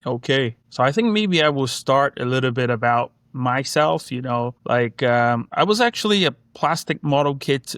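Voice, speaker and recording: Smooth-voiced man. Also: mid-range voice, lively intonation, normal speed, hardly any pauses, a bit bright, dry recording, studio quality. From Hong Kong. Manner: reminiscing, many fillers and "you know, like". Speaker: guest, collectibles company executive. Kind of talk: casual interview storytelling.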